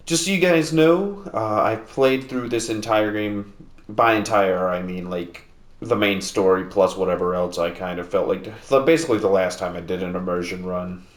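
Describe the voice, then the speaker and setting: A man casually commenting on a game he is playing, rambling with lots of fillers, speaking a little louder than usual because he sits away from the mic in a house. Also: sits low, echoey room. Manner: rambling, relaxed, many fillers and restarts; talking louder than normal to make up for mic distance. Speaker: gameplay video host. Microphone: sitting at a distance from the mic.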